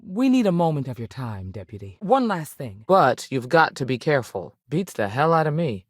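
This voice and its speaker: A woman's voice: sits low, very animated, moderate pace, husky, no echo, full character voice. An actress playing a tough, no-nonsense space ranger and mother in a video game, firm, plainspoken and dry in manner. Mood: businesslike. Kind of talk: video game dialogue acting.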